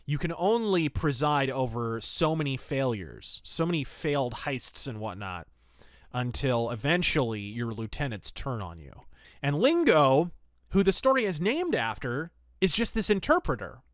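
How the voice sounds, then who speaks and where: A man hosting a podcast, giving an opinionated, conversational review in a casual, animated way. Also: medium pitch, moderate pace, dry recording, clean studio sound. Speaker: podcast host reviewing pulp fiction.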